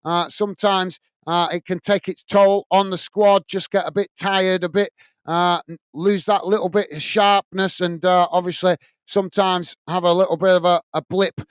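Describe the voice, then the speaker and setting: A man from Bolton with a Lancashire accent hosts a live football watch-along, calling the play between chats with viewers. His voice sits high and rings smooth and clear. The sound is dry and close, from a home streaming setup. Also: little pitch movement, normal speed, no room sound. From Bolton, north of England. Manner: chatty, calls viewers mate, frequent uh fillers. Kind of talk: live match commentary and chat reading. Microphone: earphones and desk microphone.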